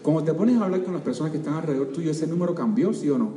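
A man's voice: on the high side, very fast. Older Cuban man rallying a crowd. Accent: Cuban Spanish. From Cuba. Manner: energetic, keeps quizzing the audience. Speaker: seminar speaker. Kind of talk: motivational seminar talk. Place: large auditorium. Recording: echoey hall, distant mic, faint crowd.

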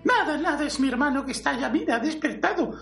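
A man with a Spain Spanish accent voicing a timid, rambling priest in an amateur parody audio drama, thin falsetto-like voice, hesitant and apologetic. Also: animated, fast, vocal fry, a bit bright, heavily acted. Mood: placating, nervous. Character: timid, rambling priest, caretaker brother of a fallen conqueror. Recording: roomy, distant mic, music underneath.

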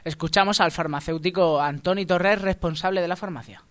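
A man co-hosts a radio comedy. His fairly high voice carries spoof news reports and offhand banter. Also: brisk, fairly bright tone. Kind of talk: mock news reading with casual banter.

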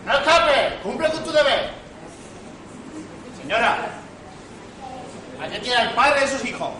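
A man's voice: extremely high pitch, big pitch swings, a little slow, exaggerated voice. A man in an amateur stage farce playing an indignant, blustering father with a declamatory delivery, recorded in an echoey hall. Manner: declamatory, theatrical. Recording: echoey hall from far off, noisy audience.

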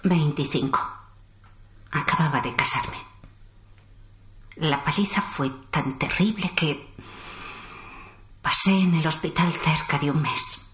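An actress playing a sombre, wounded woman in a radio drama, speaking solemnly and deliberately. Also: low-pitched, a bit bright. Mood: sorrowful, bitter. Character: wounded, sombre woman, survivor of violent abuse. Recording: roomy echo under music.

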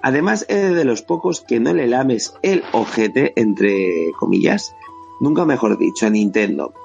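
A man's voice: medium pitch, animated, a little slow, vocal fry, darkish tone. A man with a Spanish accent from Spain hosting a podcast, chatting casually and reading out listener comments. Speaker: podcast host. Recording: dull lo-fi sound, faint music bed.

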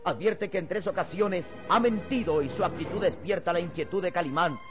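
A man's voice: high voice, brisk. A man narrating an old radio serial in a dramatic, emphatic, theatrical style. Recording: dull lo-fi old radio, loud music.

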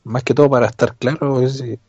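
Slightly muffled and very dry, this is a Chilean man with a mid-pitched voice. He chats casually as a radio show panelist. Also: steady tone, brisk, warm tone, breathy edge, no echo. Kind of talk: casual chat. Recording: dull, dry lo-fi audio.

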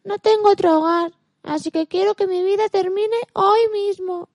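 Sorry old house cat, super high childlike voice, melodramatic, dry close sound. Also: female voice, steady tone, moderate pace, darkish tone, no room sound. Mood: miserable, tearful. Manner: plaintive, melodramatic. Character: miserable old cast-out house cat, plaintive. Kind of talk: radio theatre fairy tale acting.

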